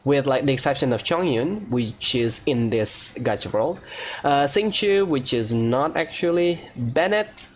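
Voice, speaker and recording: A man's voice: mid-range voice, expressive, measured pace. A man hosting a let's-play, giving informal gaming commentary and thinking aloud. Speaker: gaming video host. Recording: faint background noise.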